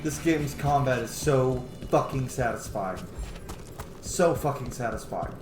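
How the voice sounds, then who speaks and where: A man's voice: mid-range voice, expressive, a little slow, music in the background. A man giving casual, profanity-laced commentary while playing a video game. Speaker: gaming video host. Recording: roomy echo, clear background noise.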